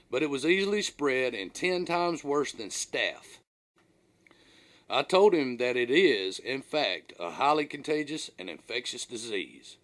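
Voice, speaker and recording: A man's voice: mid-range voice, fairly bright tone. A man reading his own humorous story as narrator, with a dramatic, mock-serious, folksy delivery.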